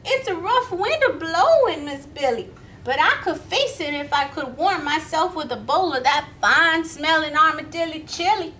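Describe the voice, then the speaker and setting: A woman's voice: high voice, expressive, normal speed, pushed and bright, a little hiss or hum. A woman voicing a chilly, beseeching bird in a storybook, with folksy charm. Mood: pleading. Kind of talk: children's storybook read-aloud.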